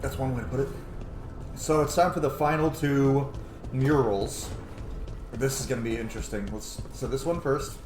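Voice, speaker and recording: A man's voice: medium pitch, steady tone, normal speed, background noise. A man hosting a gaming channel, giving informal, sweary let's play commentary. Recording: roomy echo, game music behind. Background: video game music.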